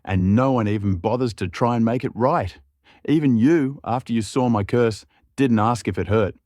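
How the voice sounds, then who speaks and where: A man's voice: medium pitch, normal speed, darkish tone, no room sound, studio quality, close to an everyday voice. A man voicing a bitter, mocking porcelain guardsman, a murderous villain with an aggrieved, taunting way of talking in an audiobook story.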